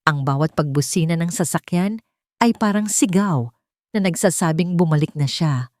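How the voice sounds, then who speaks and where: A woman with a Filipino accent narrating a scripted love story in a measured, sentimental way. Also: fairly low pitch, darkish tone, no echo, studio quality. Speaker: narrator of audio love stories.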